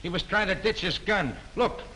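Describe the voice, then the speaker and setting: A man's voice: high-pitched, normal speed, pushed and bright. An actor playing a cocky, tough-talking male studio guard who boasts in slangy street grammar, in 1930s film style. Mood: boastful.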